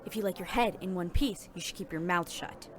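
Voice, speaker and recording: A woman playing a hostile, threatening male mob waiter in a noir audio drama, curt and forceful. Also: fairly low pitch, fairly quick, natural voice. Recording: faint music behind the voice.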